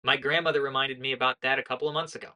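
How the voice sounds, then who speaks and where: A young American woman giving casual political commentary in a monotone voice, earnest and lecturing, often correcting herself mid-sentence. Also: very deep voice, racing. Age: young adult.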